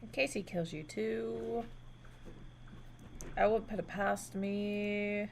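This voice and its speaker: A woman's voice: medium pitch, fairly even intonation, slow. A woman playing an eerie, fearful, pleading woman in a horror visual novel game, in a noisy recording.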